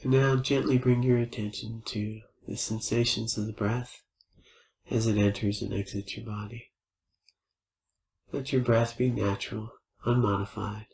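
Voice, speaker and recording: A man leading a guided meditation as a teacher, speaking in gentle, unhurried invitations with pauses. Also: on the low side, level tone, gravelly. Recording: roomy, echoing space.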